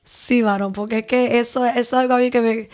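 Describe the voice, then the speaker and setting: Evangelista dominicana habladora. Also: female voice, a little high, steady tone, brisk, darkish tone. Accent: Dominican Spanish. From Dominican Republic. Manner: talkative, lengthy explanations. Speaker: evangelist guest. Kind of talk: podcast interview testimony.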